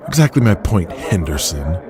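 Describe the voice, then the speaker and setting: A man's voice: low voice, big pitch swings, moderate pace, music in the background. A man playing a domineering, vulgar, grandiose wrestling company boss in an audio drama, speaking in a commanding, scornful, emphatic way. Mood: scornful, crude.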